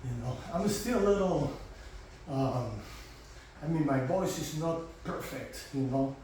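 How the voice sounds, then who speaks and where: A man's voice: a little high, unhurried, very echoey, distant mic, slight background noise, poor audio. A man with a congested, blocked-nose voice, coaching a home garage workout and cheering viewers through their reps. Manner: energetic, encouraging, counting reps. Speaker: fitness coach. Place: garage gym.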